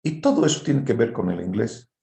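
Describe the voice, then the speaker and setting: A man's voice: medium pitch, lively intonation, fairly quick, vocal fry, warm tone. A man lecturing informally to students in a didactic way, often checking in with short tag questions. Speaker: university engineering lecturer. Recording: roomy, echoing online class audio.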